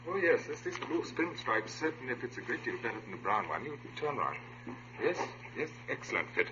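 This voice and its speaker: A man's voice: medium pitch, expressive, a little fast, lightly acted. Man doing fussy tailor, voice up and down a lot, eager to please, noisy muffled old radio show. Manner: fussy, deferential, hesitant. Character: fussy, obliging male high-class tailor. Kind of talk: 1950s radio drama acting.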